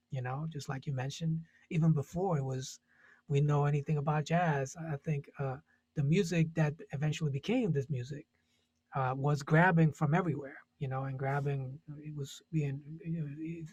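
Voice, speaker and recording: A man's voice: a little high, a little mellow, slightly rough, no room sound. A man from Puerto Rico, a jazz musician, talking casually and thoughtfully on a panel, searching for words with many restarts.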